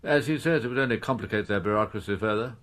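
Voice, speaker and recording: An actor playing a haughty titled gentleman in a radio drama, talking down to officials in a deliberate, superior manner. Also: fairly low pitch, lightly acted. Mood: condescending.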